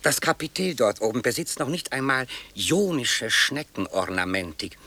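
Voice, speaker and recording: An actor playing a courteous, educated architect in a radio play, speaking formally and deliberately. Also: male voice, mid-range voice, sing-song, sharp tone. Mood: admiring, reflective. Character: courteous, educated Polish architect, reserved lodger. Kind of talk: dramatic radio play acting.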